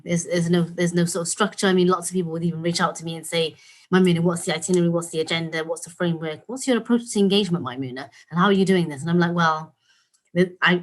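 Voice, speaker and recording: A woman from talks earnestly over a video call, her voice sitting a little low. She hosts in a casual, unscripted way, circling back and restarting her sentences. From Wales. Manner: earnest, with frequent fillers and restarts. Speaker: host. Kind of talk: casual conversational introduction.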